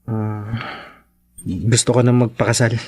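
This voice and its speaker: A man's voice: lowish voice, steady tone, unhurried, a little mellow, husky. A man with a Filipino accent playing a devoted, slightly hesitant young boyfriend in a Tagalog radio drama. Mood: nervous, earnest. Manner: hesitant, stammering.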